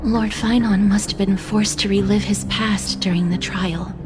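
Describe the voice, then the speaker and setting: A gentle, reflective woman in a video game story, voiced by an actress. Her mid-pitched voice moves slowly. She is formal, deferential and pensive. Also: fairly even intonation, music in the background, natural voice. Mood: pensive, concerned.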